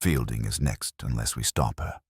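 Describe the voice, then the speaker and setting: Man acting a deep-voiced, agitated police inspector. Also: normal speed, breathy edge, no room sound, studio quality, exaggerated voice. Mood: insistent. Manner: emphatic, formal old-fashioned speech. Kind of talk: audiobook character dialogue.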